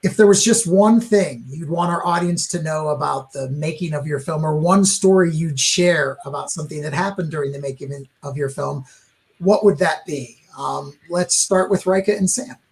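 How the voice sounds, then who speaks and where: A man's voice: on the high side, normal speed, vocal fry. A man moderating a filmmaker roundtable, complimentary toward his guests as he speaks to them.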